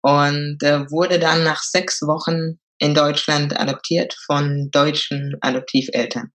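A woman's voice: sits low, level tone, slow. Hesitant young German woman. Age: 20s. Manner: hesitant, many fillers, thoughtful. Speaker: podcast guest. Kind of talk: casual interview talk.

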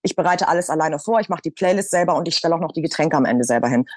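A woman's voice: low-pitched, expressive, racing, a little mellow, a little hiss or hum. A woman from Hamburg, a radio presenter, talking in a casual roundtable and stressing her points by repeating them. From Hamburg, Germany.

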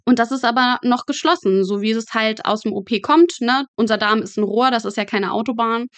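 A young woman speaks in a fairly high voice with a dry, room-free sound. She explains things colloquially as a guest, matter-of-fact and drily humorous. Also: a little fast, no room sound. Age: young adult. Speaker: podcast guest, medical student working in pathology. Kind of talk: casual explanatory chat.

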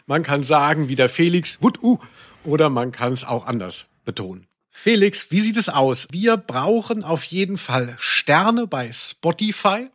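Middle-aged man with a highish voice. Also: sing-song, a little slow, a bit bright. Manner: playful, tongue-in-cheek. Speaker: podcast co-host. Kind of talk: casual chat.

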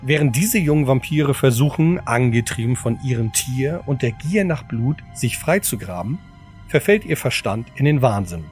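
A man's voice: medium pitch, expressive, moderate pace, darkish tone. A man reading scripted narration as the narrator of an audio drama. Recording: voice over background music. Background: music.

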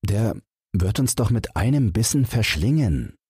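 A man acts a noble young prince from a fairy tale. He speaks earnestly in a raised, husky voice at an unhurried pace. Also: lowish voice, very animated, a little mellow, no echo, studio quality, voice pushed up, exaggerated voice. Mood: worried.

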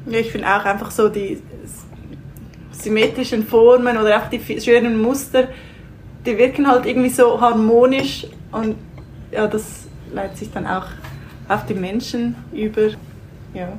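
A woman, a podcast guest speaking in a relaxed, reflective way. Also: on the high side, moderate pace, slight background noise, rough recording. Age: 20s. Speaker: guest, youth worker. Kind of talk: casual interview.

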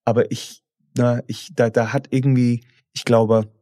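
A man's voice: mid-range voice, flat delivery, a little slow, mellow, no echo. Blunt man co-hosting a podcast, dry sound. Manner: blunt, repeats words when restarting. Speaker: podcast co-host. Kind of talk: casual chat.

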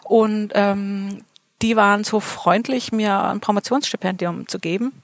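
A woman's voice: medium pitch, steady tone, unhurried, no echo. A middle-aged woman from southern Germany, a politician chatting in an interview, full of fillers and restarts. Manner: chatty, many fillers and restarts, laughs easily. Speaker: state politician guest. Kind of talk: relaxed interview talk. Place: garden allotment outdoors.

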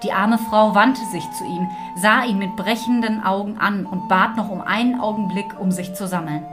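A woman's voice: mid-range voice, normal speed. A woman storyteller reading a scripted fairy tale narration. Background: music.

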